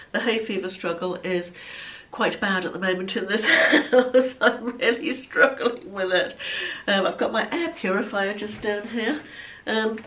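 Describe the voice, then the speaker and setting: A woman's voice: medium pitch, fairly bright tone. A woman in her fifties, congested from hay fever, chatting in a rambling, self-deprecating way as a fashion vlogger in her living room. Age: 50s. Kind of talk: casual chat. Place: bright living room at home. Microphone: camera across the room. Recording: voice a bit far from mic.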